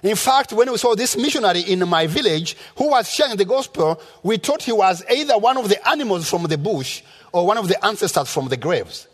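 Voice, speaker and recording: Rwandan guy preaching, high voice that swings up and down a lot, emphatic teacher style. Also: animated, fairly quick, hardly any pauses. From rural Rwanda. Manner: emphatic, teaching with repetition and rhetorical questions. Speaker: guest preacher. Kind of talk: sermon with personal testimony. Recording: reverberant hall sound.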